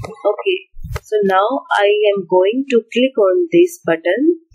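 A woman's voice: medium pitch, lively intonation, a little mellow, slightly rough, faint music behind, poor audio. A woman hosting a coding tutorial, explaining step by step in a plain way with many repeated "okay"s over a screen recording. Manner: slow, plain explaining with repeated "okay" and "you will see". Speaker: programming tutorial host.

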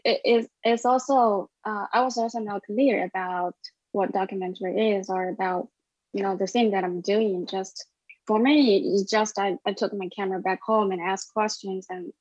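A woman in her thirties from China, a documentary filmmaker answering interview questions in a halting way with many fillers and restarts, recorded over a webcam with earbuds in a small room. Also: medium pitch, no room sound. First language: Chinese. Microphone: wireless earbuds at a webcam. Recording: dull, dry webcam audio.

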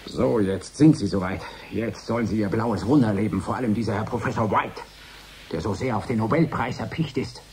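A man's voice: on the low side, brisk, darkish tone, a little hiss or hum, close to an everyday voice. An actor playing a mocking man in his late thirties in a radio drama, with a sardonic, taunting delivery. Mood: mocking, gloating. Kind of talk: 1980s German radio drama acting.

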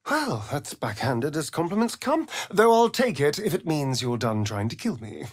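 An actor playing a flamboyant, sardonic vampire rogue in a video game, with an arch, drawling, theatrical delivery. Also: male voice, a little high, big pitch swings, normal speed, no echo, studio quality. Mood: arch, teasing.

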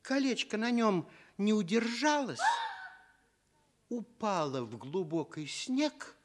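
A man narrating a scripted fairy tale as an expressive storyteller. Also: high-pitched, takes it slowly.

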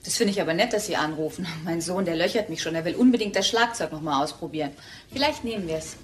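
A woman's voice: fairly low pitch, fast, slight background noise, natural voice. An actress playing a friendly, chatty working mother at a museum, in a crime radio play. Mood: cheerful. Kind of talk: radio crime drama acting.

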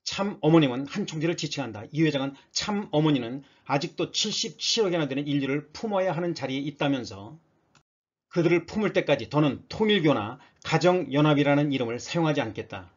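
A man's voice: on the high side, lo-fi sound. A man narrating scripted news for an online channel in a formal, read-out announcer manner.

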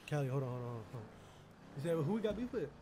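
A fairly high-voiced man talks very quickly in casual street slang during game role-play. He repeats short phrases in bursts, over a clearly noisy headset mic. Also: big pitch swings. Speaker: streamer role-playing a gang member. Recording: noisy gaming headset microphone.

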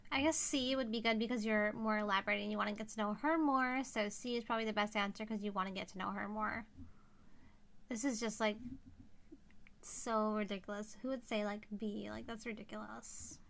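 Young female game streamer, casual live commentary, noticeable vocal fry. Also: mid-range voice, steady tone, fairly quick, fairly bright tone. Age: young adult. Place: indoors, seated at a streaming setup.

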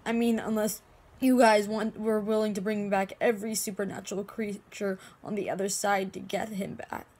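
Woman acting an anguished, lovestruck young woman, slow-spoken. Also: a little high, husky. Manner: emotional, halting delivery with repeated words. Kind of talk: amateur dramatic monologue.

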